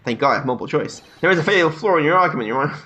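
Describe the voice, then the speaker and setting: A young man joking his way through a game, crude and laughing often. His voice sits a little high. Faint game music plays under him. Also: expressive, very fast, sharp tone, dull audio. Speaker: let's play host. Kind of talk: joking game commentary and reading dialogue aloud.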